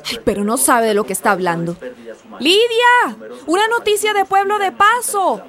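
A woman's voice: very high-pitched, big pitch swings, moderate pace, fairly bright tone, exaggerated voice. A woman acting an outspoken, scornful migrant woman in a radio drama. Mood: indignant. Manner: blunt, scornful. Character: outspoken, indignant migrant woman scolding a newscast.

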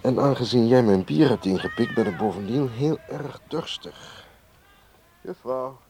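Brash male bar patron for radio drama; very varied intonation, mellow timbre. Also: medium pitch, animated, a little slow. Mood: wry. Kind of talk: Dutch radio play acting.

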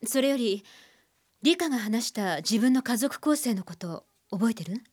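A woman playing a composed therapist in a radio drama, measured and thoughtful. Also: on the high side, lively intonation. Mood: musing. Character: composed adult female therapist.

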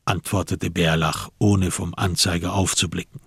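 Male narrator, low husky voice, literary radio drama reading, dry studio sound. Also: level tone, normal speed, no echo.

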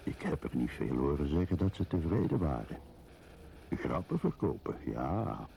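An actor playing a wry, world-weary, plain-talking man in a colloquial radio play monologue, with a gravelly voice. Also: rumbling low, big pitch swings, moderate pace, warm tone, slight background noise, full character voice. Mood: wry, sceptical.

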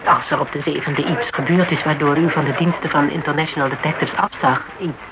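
Through clear background noise of an old radio play comes an actor as a courteous legal adviser. The phrasing is formal and circumspect, with careful hesitations. The figure stays deferential while pressing on with probing questions, in a medium-pitched voice. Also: steady tone, brisk, natural voice. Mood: politely insistent. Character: polite, probing legal adviser, tactfully insistent. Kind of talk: Dutch radio drama acting, dialogue. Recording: noisy old radio broadcast recording.

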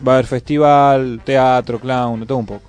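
Male radio co-host, Buenos Aires area accent, informal live chat, mid-pitched voice over faint music. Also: fairly even intonation, brisk, darkish tone, clean tone. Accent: Argentine, Buenos Aires area.

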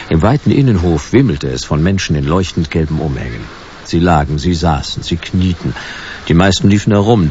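An actor playing a world-weary private detective of the future in a radio drama, dry, laconic and sardonic, narrating in noir style. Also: male voice, very deep voice, a little mellow. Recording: dull, noisy radio drama recording.

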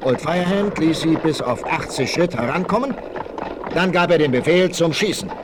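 A man's voice: on the high side, expressive, moderate pace. A man narrating a 1960s German radio play in a dramatic, scripted storytelling style. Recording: noisy old vinyl rip.

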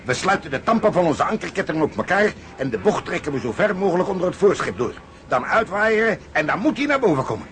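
An actor playing a commanding, stubborn, cocksure sea captain in a Dutch audio drama. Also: male voice, high-pitched, sing-song, fast talker, fairly bright tone. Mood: feverishly eager. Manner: cocksure, rough-tongued. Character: commanding, stubborn, swaggering male salvage ship captain. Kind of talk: Dutch radio drama acting. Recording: noisy ship ambience behind voice.

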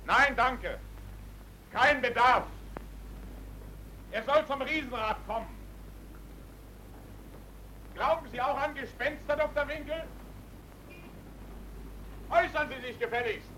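A man's voice: very high voice, takes it slowly, pauses often, sharp tone, a little hiss or hum, heavily acted, low-quality recording. A male actor playing a bitter, insistent man confronting others in a German drama, blunt and terse. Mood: defiant. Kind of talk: German radio drama or film-dub acting.

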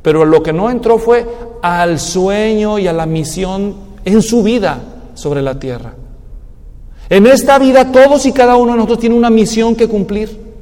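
A man's voice: sits high, normal speed, dark tone. A man preaching a sermon in an emphatic manner, now and then mimicking people's excuses. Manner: emphatic, acts out excuses in a mocking tone. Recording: long hall reverb.

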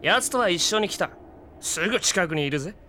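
An actor playing a cocky, cold-hearted gunslinger villain in an anime audio drama, swaggering and taunting with drawn-out words. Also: male voice, high voice, lively intonation, bright, forceful tone, slight background noise. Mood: menacing. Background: prominent dramatic music.

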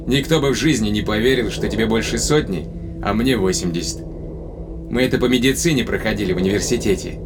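An actor playing a tender but probing spaceship captain in a Russian audio drama, speaking conversationally with deliberate pauses. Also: male voice, mid-range voice, noisy background, close to an everyday voice. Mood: nostalgic. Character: male spaceship captain, long-lived, tenderly probing his companion.

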